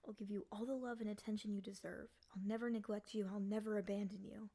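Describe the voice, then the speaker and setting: A woman playing a lonely, obsessively devoted demon in an intimate ASMR roleplay, speaking softly and often slipping into whispers. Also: medium pitch, steady tone, fast talker, close to an everyday voice. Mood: devoted, tender. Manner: soft, doting, often dropping into breathy whispers. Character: lonely, obsessively devoted female yandere demon, once sealed.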